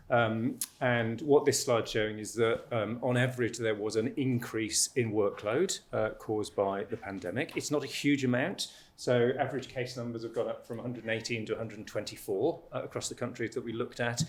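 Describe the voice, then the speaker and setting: A man facilitating a panel and presenting a report, with many fillers and restarts. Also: mid-range voice.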